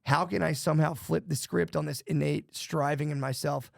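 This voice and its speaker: A man's voice: a little high, steady tone, normal speed, creaky, no room sound. A man co-hosting a podcast, rambling loosely and swearing, saying "dude" a lot, on a close studio mic. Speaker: podcast co-host. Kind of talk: casual podcast chat.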